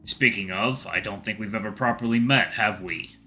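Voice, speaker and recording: A man's voice: lowish voice, normal speed, gravelly, sharp tone, soft background music. Man acting a stern, intimidating middle-aged dad. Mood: probing. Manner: curt, probing, disapproving.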